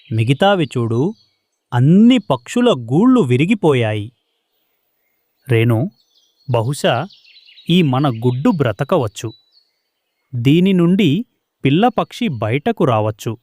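A man's voice: medium pitch, darkish tone, dry recording. A man voicing a worried, practical male sparrow who pleads earnestly in a children's cartoon story. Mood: sad, hopeful.

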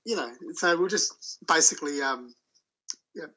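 A man talking about his sailing voyage as an interview guest, telling it in a halting, loose way. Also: a little high, expressive, pushed and bright, dull audio. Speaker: solo sailor guest. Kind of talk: casual interview chat.

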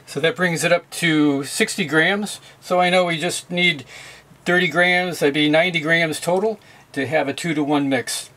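A man's voice: on the high side, steady tone, normal speed, bright, forceful tone. A middle-aged man demonstrating at a boat's galley sink, explaining steps in a plain, methodical way with occasional fillers. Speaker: sailing how-to video host. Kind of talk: hands-on demonstration.

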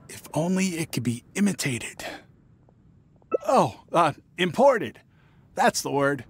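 A man voicing a shifty young traveling merchant in a video game, evasive and hesitant, stammering while trying to sound smooth. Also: a little high, big pitch swings, slow pace, exaggerated voice. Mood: feigned charm. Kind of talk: video game character acting.

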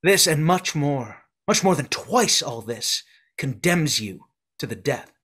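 A man in a video-call Shakespeare reading, playing an exiled duke who forcefully claims his inheritance. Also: on the high side, big pitch swings, slow, breathy edge, exaggerated voice. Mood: condemning. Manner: forceful declamation. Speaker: actor. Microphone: video call webcam.

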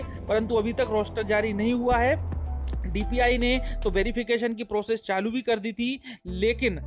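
High-pitched man presenting over music. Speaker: YouTube channel host. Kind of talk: news update narration to subscribers. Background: background music.

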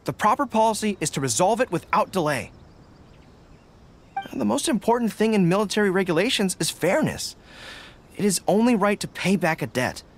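A man's voice: sits high, very animated, slight background noise. A young man voicing an earnest, formal young dog-eared general in a video game. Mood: firm, considered. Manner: earnest, deliberate, formal phrasing. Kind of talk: video game character dialogue.

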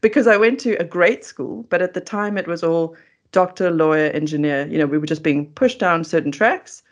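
A woman's voice: lowish voice, moderate pace. A middle-aged woman with a South African accent, telling stories casually and self-deprecatingly as an interview guest. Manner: chatty, self-deprecating, giggly. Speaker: interview guest, food coach. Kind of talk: casual conversational storytelling. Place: indoors, remote video call.

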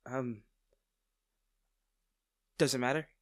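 A man's voice: medium pitch, steady tone, slow pace, no echo, clean studio sound, natural voice. A man playing a tender, nervous villain in an intimate audio roleplay, stammering and hesitant as he speaks to the listener. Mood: breathless, flustered. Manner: hesitant, stammering, soft-hearted. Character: smitten masked male villain, nervous, tender, teasing.

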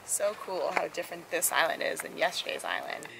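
A woman's voice: on the low side, sing-song, fairly quick, creaky. A woman in her twenties chatting and teasing on a travel vlog, filmed on a camera. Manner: teasing, giggly. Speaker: travel vlogger. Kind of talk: casual travel vlog chat. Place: outdoors on an island and in a ship cabin. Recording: distant noisy camera audio.

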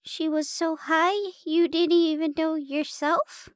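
A woman's voice: very high voice, steady tone, takes it slowly, very noisy. A woman voicing a timid, naive young spider girl in an audio roleplay, stammering and flustered. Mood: nervous.

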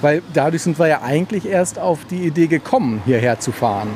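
A man's voice: a little high, expressive, a little fast, darkish tone. A man chatting casually on location, a little hesitant as he searches for words. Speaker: author as guest co-reporter. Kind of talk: casual chat. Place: outdoors by a road. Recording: outdoor traffic noise behind.

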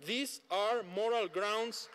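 Man, middle-aged, very high voice, formal prepared statement, conference microphone. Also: takes it slowly, a bit bright, slight background noise. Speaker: delegate speaking from the floor. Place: large indoor conference room. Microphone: desk conference microphone.

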